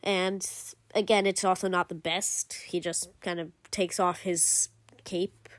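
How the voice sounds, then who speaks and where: A woman's voice: lowish voice, level tone, unhurried, no echo. A woman giving casual commentary as a gaming video creator, rambling with lots of fillers and self-corrections. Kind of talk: casual game commentary ranking.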